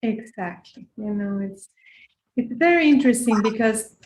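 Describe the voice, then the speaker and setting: Earnest yoga teacher lady from costa rica, soft-spoken, roomy echo around her. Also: on the high side, lively intonation, normal speed. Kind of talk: reflective interview talk.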